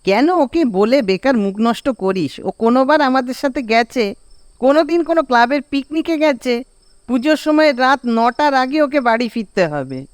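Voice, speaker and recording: Man voicing a very high-pitched bossy young Bengali. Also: expressive, moderate pace, smooth, dry recording, studio quality. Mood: mocking. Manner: nagging, exasperated. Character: bossy, scolding young village man, cartoon friend. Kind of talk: animated cartoon dialogue.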